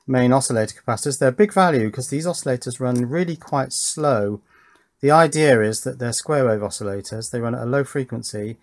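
A man with a mid-pitched voice presents hands-on electronics work in a casual way. He talks as if thinking aloud while he works indoors at a bench. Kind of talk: casual hands-on build commentary. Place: indoors at a workbench.

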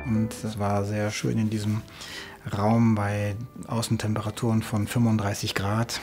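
A man's voice: fairly low pitch, monotone, moderate pace. A man who works as a composer and arranger, speaking in interview style about a music production. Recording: music underneath. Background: background music.

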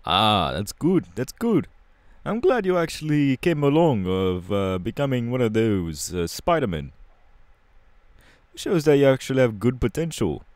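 A man voicing a laid-back but irritable young punk superhero bassist, slangy and drawling, in an audio roleplay. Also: very animated, a little slow, darkish tone, no room sound. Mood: upbeat, welcoming. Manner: slangy, casual, drawling with hesitations.

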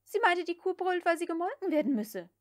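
A headstrong young lady of the old South, acted by a woman narrator, speaks high and curt. She snaps out orders in a harsh, commanding manner, as in a novel read aloud. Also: big pitch swings, brisk. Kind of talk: audiobook character dialogue.

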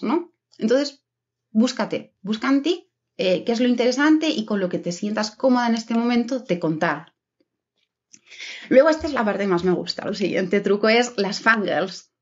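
Chatty woman on a dull video call. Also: fairly high pitch. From Spain. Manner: chatty, encouraging, many fillers. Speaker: keynote speaker. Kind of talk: conference keynote over video call. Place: home room with red curtains. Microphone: webcam video call.

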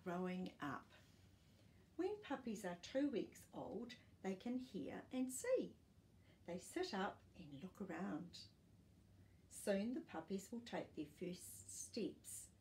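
A woman's voice: mid-range voice, big pitch swings, takes it slowly, a little mellow, a little hiss or hum. A woman in her sixties reads a children's fact book aloud as a storytime host, speaking carefully and simply for young listeners. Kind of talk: reading a children's non-fiction picture book aloud. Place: small living room, indoors. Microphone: camera a short distance away.

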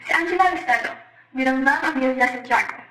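High, bright young woman announcer, echoey. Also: fairly quick. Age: young adult. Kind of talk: scripted radio drama credits announcement. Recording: echoey room sound.

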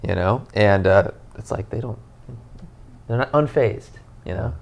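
Male pastor, 30s, low gravelly voice; earnest, animated podcast chat on a lapel mic. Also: a little slow, dull audio. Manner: animated, earnest storytelling with many "you know" fillers. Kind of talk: casual podcast conversation. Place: living room, on a couch, indoors.

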